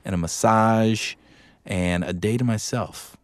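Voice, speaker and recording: A man's voice: on the low side, takes it slowly, dry recording. A man co-hosting a filmmaking podcast, chatting in an informal bro style, recorded in a studio. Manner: informal, dude-heavy bro talk. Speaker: podcast co-host. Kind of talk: casual banter on a filmmaking podcast.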